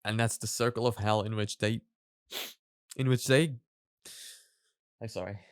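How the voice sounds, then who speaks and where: Slow-talking man giving casual commentary. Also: on the low side, steady tone, smooth, no echo, studio quality. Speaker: reaction video host.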